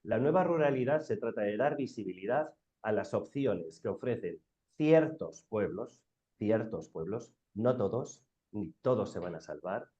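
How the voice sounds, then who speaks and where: A man with a low voice and a northern Spanish accent talks informally on a panel. He is emphatic and colloquial, often posing a question before answering it. The recording is somewhat muffled and lo-fi. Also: warm tone. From Bilbao, Spain. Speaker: round-table panelist.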